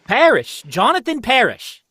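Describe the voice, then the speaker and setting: Guy doing a flustered radio cop boss, sharp bright high voice, stammery and worked up, super dry sound. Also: huge pitch swings, unhurried, husky, dry recording, exaggerated voice. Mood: shocked. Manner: stammering, exclamatory, urgent. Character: agitated, blustering police inspector, easily flustered. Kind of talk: old-time radio mystery acting.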